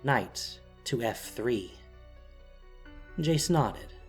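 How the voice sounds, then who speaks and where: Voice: mid-range voice, lively intonation, extremely slow, faint music behind. A narrator reading a scripted, dramatic story for an audio drama.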